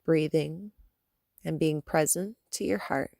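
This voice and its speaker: A woman guiding a meditation as a yoga teacher, speaking in a calm, soothing manner with gentle instructions and long pauses. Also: sits low, creaky, dry recording, clean studio sound. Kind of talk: guided meditation.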